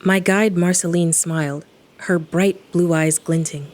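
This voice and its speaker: A wry, irreverent woman investigator tells her own story in an audio drama, played by an actress. Her voice sits low for a woman. The delivery is informal and sardonic, like first-person narration. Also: fairly even intonation, a little slow, a little mellow, a little hiss or hum, close to an everyday voice. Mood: descriptive. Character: sardonic young woman engineer and paranormal investigator. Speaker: protagonist narrator.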